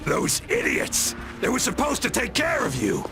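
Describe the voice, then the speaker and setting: High scratchy goon voice for a game, cocky and aggressive, super animated. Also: male voice, moderate pace, music in the background, heavily acted. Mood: angry. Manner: aggressive, cartoonish. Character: brash, snarling henchman thug with a keen nose. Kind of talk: video game voice acting.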